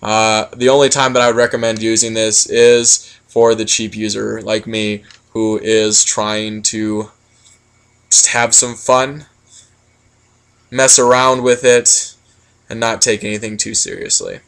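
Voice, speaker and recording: A man's voice: fairly low pitch, flat delivery, measured pace, vocal fry, fairly bright tone, clear, resonant tone. A man giving a casual product critique, explaining things in a rambling, matter-of-fact way. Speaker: product reviewer.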